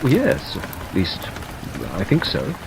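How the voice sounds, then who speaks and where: A man puts on a fretful elderly country gentleman for an audiobook, his voice flying up high and swooping about whenever he protests. Steady rain hisses behind him. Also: slow pace, creaky, full character voice. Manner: flustered, hesitant, rising high in pitch. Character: fussy, gentle older English country gentleman.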